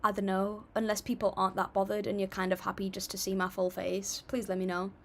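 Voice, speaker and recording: A woman's voice: medium pitch, completely flat delivery, fast. A young woman hosting a casual livestream, chatting and reading viewer comments aloud in an upbeat, apologetic way. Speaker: livestream music reactor.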